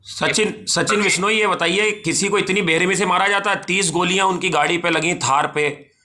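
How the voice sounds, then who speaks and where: A male news interviewer questions someone on a call in a bright, pressing voice pitched slightly high. He is halting and insistent, often repeating himself as he frames a question.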